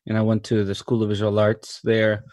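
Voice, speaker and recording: A man from Mexico, a cinematographer, talking about his career in a relaxed interview with frequent fillers and restarts. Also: fairly low pitch, moderate pace, dry recording. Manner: thoughtful, many fillers and restarts, enthusiastic. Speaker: cinematographer guest.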